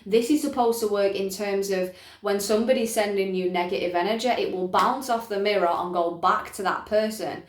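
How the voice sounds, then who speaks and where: A woman's voice: mid-range voice, brisk. Woman explaining emphatically in an echoey room. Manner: emphatic, repeats points, often checks in with listeners. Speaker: spiritual teacher presenting a self-help video. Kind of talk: informal explanatory talk to camera. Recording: echoey, roomy sound.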